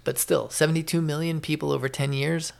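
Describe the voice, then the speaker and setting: An American man hosts a solo opinion podcast in a voice full of gravel and fry. His delivery is unscripted and halting, and he leans hard on repeated phrases to drive his opinions home. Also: a little high, steady tone, darkish tone. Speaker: podcast host.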